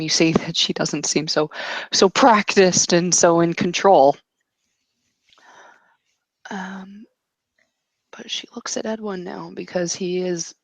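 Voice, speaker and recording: A woman's voice: on the low side, no echo. A woman improvising narration for a tabletop roleplay, feeling her way through the scene with some hesitation.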